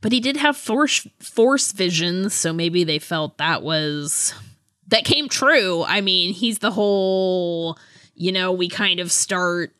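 A woman chats as a podcast co-host in a casual book discussion. Her voice is bright and a little low, recorded dry and close. She takes her time, tentatively working through each thought. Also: no echo.

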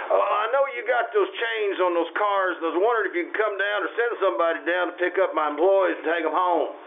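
Man acting flustered, high-pitched small-town store owner. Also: vocal fry. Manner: flustered, exclaiming, stammering. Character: excitable, hurried male small-town store owner, newly generous. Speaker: amateur radio troupe actor. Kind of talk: old-time radio drama acting before an audience. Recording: tinny, noisy, band-limited stage radio-play recording.

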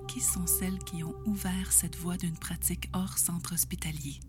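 A woman reads a scripted introduction in a slow, breathy, near-whispered way. Her voice sits a little low, and clear music plays underneath. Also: little pitch movement. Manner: soft, slow, breathy delivery. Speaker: show narrator. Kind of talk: scripted narration. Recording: narration over music.

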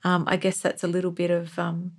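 A woman's voice: on the low side, monotone, fairly quick. A woman chatting casually as a podcast guest, tentative and often checking for agreement. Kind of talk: casual chat.

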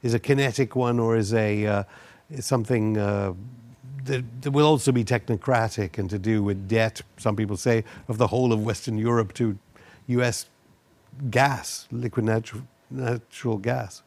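A man's voice: on the low side, steady tone, normal speed, darkish tone. A man hosting a broadcast interview, framing his points in long, pointed, wordy sentences. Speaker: interviewer, show host.

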